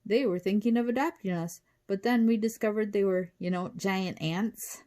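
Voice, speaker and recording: A woman's voice: medium pitch, normal speed, darkish tone, close to an everyday voice. A woman voicing a blunt, wry orphan boy in a children's storybook reading. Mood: matter-of-fact.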